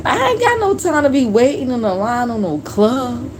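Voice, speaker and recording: A woman in her late forties chatting casually on a live stream, telling stories in a relaxed, slangy way. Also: fairly high pitch, slow pace, very noisy. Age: 40s. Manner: relaxed storytelling with slang and laughs.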